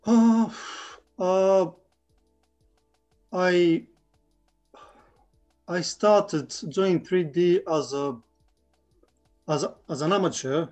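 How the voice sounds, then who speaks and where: Man, halting, fairly high voice. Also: darkish tone, soft background music. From Bulgaria. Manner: halting, with many restarts and fillers. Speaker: guest, 3D artist. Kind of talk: casual interview chat.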